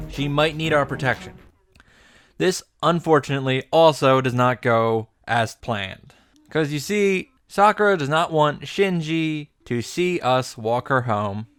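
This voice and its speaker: Animated sarcastic man recapping over music. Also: fairly high pitch. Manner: sarcastic, exasperated, emphatic delivery. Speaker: host of a visual novel reaction show. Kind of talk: comedic game recap commentary, casual and sweary. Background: background music.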